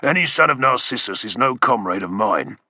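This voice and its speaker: A man voices a blunt, growling veteran soldier with little patience for pleasantries. His lines come out brusque with a bright edge and wide swings. The sound is dry and close. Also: medium pitch, lively intonation, brisk, no echo, distant mic. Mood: gruff.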